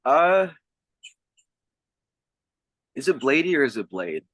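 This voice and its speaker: A man's voice: medium pitch, very animated, takes it slowly, fairly bright tone, no echo, poor audio. A man working as an interviewer, asking questions in a conversational way.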